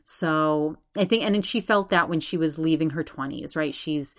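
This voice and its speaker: A woman's voice: low voice, normal speed. A woman in her forties hosting a solo podcast, talking in a chatty, rambling way and speaking straight to her subscribers. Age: 40s. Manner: chatty, rambling, animated, addresses subscribers directly. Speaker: podcast host.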